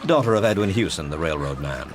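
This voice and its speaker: Deep-voiced society gentleman recounting events. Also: animated, fast, a crowd in the background. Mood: proud, wry. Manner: formal, emphatic storytelling. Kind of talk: period radio drama narration.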